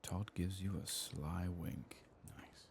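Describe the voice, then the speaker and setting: A man hosting a gaming video in a soft-spoken ASMR style, sometimes whispering, murmuring his thoughts unhurriedly as he plays. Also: low voice, steady tone, creaky, slightly rough. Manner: murmured, unhurried musing to himself.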